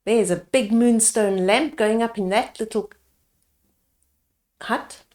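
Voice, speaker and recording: Slow, higher-voiced woman reading a storybook. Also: darkish tone. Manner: unhurried, explains the pictures to young listeners. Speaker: storyteller reading a picture book aloud. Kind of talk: read-aloud storytime narration with asides to children.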